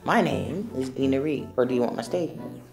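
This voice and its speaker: A woman's voice: very deep voice, animated, brisk, vocal fry, over music. Wry, casual woman from Los Angeles. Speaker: spoken word poet. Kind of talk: documentary interview and poetry.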